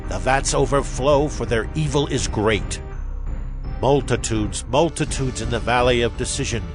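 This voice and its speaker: An actor playing a solemn Hebrew prophet in a dramatized scripture reading, declaiming with grave emphasis. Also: male voice, medium pitch, moderate pace, close to an everyday voice. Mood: urgent, commanding. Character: solemn male Hebrew prophet proclaiming judgment and promise. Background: music.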